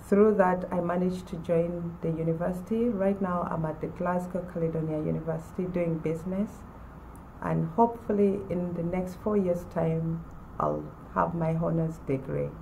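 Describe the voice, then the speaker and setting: A woman in her forties from Uganda, telling her own story in a measured way with pauses. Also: on the low side, fairly even intonation, vocal fry, warm tone, slight background noise, rough recording. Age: 40s. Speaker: former college student. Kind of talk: personal testimonial to camera.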